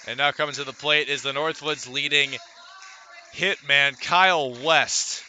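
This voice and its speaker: A man calling live baseball play-by-play for radio from a ballpark, in measured phrases with pauses between plays. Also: fairly high pitch, steady tone, pushed and bright, a little hiss or hum. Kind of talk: live radio sports commentary.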